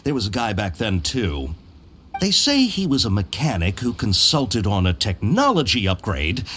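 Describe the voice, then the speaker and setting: A man's voice: lowish voice, sing-song, normal speed, a bit bright, breathy edge, heavily acted. A man voicing a jovial, eccentric engineer in a video game, chatty, eager and theatrical. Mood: chatty, explanatory. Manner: theatrical, quirky, good-humoured. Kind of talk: video game character acting. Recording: music behind the voice.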